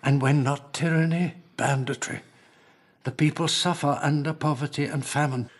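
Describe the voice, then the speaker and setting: An actor playing a young high-born plotter in a radio drama, fervent, haughty and menacing, with deliberate, persuasive delivery. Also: male voice, steady tone, lightly acted. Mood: fervent, conspiratorial.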